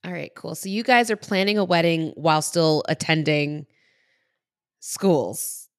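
Woman, podcast host, low-pitched, frequent vocal fry, upbeat, informal, encouraging, chatty interviewing. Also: unhurried. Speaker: podcast host and wedding planner.

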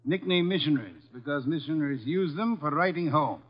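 An actor playing a fat, shrewd, commanding middle-aged detective with formal, precise diction in a 1950s radio drama. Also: male voice, on the high side, animated, fairly quick. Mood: satisfied, explanatory. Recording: dull, lo-fi vintage radio recording.

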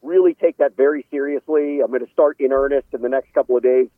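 A man's voice: medium pitch, steady tone, fast talker, no echo. A man speaking as a guest sports announcer in a casual radio interview, over a thin phone-like line.

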